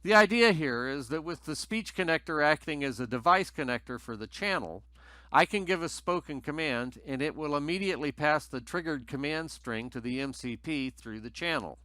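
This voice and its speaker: A man's voice: a little high, animated, normal speed, pushed and bright, no room sound. A man narrating a scripted technical tutorial in a methodical, explanatory way as its presenter.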